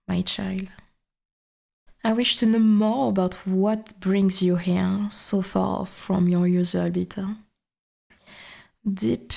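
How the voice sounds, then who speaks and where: A woman voicing an ancient, dominant forest god in an ASMR roleplay, speaking in formal, archaic wording with a breathy half-whisper and quiet menace. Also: medium pitch. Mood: curious, looming.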